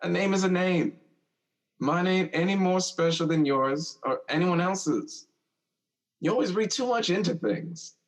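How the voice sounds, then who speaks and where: A young, protective older brother in an audio drama. His voice sits a little high for a man. He talks expressively and informally, streetwise, with a passionate, teasing streak. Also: measured pace, low-quality recording. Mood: animated, reassuring.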